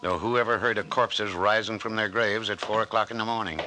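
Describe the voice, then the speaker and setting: A cautious country doctor, with a low, very bright and forceful voice. He reasons in homespun rural American speech, in old-time radio drama style. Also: steady tone, a little fast, a little hiss or hum, poor audio. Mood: uneasy.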